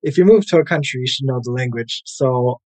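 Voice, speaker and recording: A man's voice: mid-range voice, brisk, husky, dry recording. American man, easygoing chat, dry close sound. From United States. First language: English. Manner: relaxed and chatty, many "like" and "uh" fillers. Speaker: guest, English language coach. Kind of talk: casual interview conversation.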